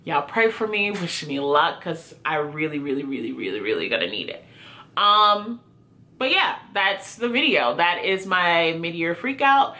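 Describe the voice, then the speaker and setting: A woman's voice: lowish voice, big pitch swings, a little slow, bright, forceful tone. A woman talking casually to viewers as a book reviewer, chatty and informal with a self-deprecating way. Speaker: book reviewer video host. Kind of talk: casual chat to camera, answering prompts.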